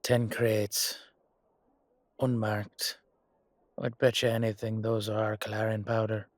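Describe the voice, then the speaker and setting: A man with an Irish accent playing a hot-headed, loyal knight in a roleplay audio drama, gruff and colloquial with a wry streak. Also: lowish voice, level tone, takes it slowly, dry recording. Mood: grim, wary.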